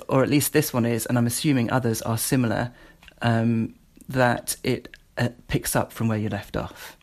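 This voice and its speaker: A man's voice: mid-range voice, a little mellow. Casual British man, hesitant and rambling. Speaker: presenter demonstrating a voice assistant skill. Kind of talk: casual spoken demonstration.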